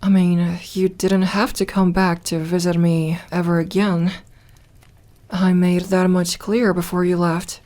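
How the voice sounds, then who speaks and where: A woman's voice: on the low side, flat delivery, unhurried, mellow, natural voice. A woman playing an ancient, lonely vampire in an ASMR roleplay, playful and tender, with a teasing drawl that turns hesitant when flustered. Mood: flustered, curious.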